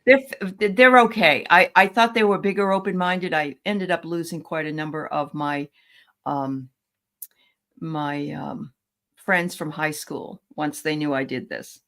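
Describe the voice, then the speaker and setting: Woman host from New Hampshire, lowish voice, friendly and halting, casual interviewer. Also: fairly bright tone. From New Hampshire, USA. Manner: friendly, halting, many fillers.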